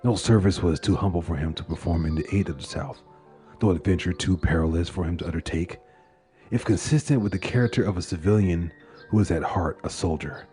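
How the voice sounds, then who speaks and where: Very deep-voiced male narrator over music. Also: fairly quick, mellow. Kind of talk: dramatic horror-tale narration of a literary short story. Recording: music under the voice.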